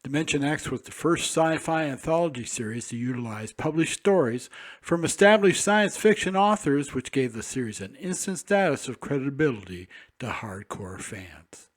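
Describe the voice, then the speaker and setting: A man's voice: medium pitch, animated, normal speed. A man announcing as a radio host, reading a scripted intermission link.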